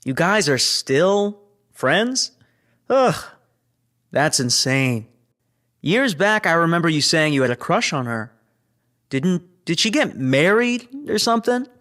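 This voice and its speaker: A man's voice: a little high, very animated, slow, long pauses, a bit bright, exaggerated voice. Spaceship crewman, guarded yet chummy, conversational sci-fi audio drama delivery. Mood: teasing, chatty. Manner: casual, conversational.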